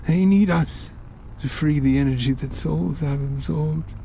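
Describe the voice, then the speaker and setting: A man voicing a genteel, sinister older occultist in a horror story, measured, polite and condescending as he lectures. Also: on the high side, vocal fry, mellow, slight background noise, pitched above the performer's own voice. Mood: solemn lecturing. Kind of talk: horror story character dialogue.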